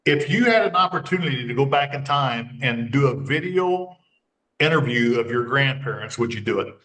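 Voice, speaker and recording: A man's voice: medium pitch, animated, moderate pace, fairly bright tone. A man talking as a guest consultant in a casual interview, rambling and often restarting his sentences. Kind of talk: casual conversation. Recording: roomy sound, mic at a distance.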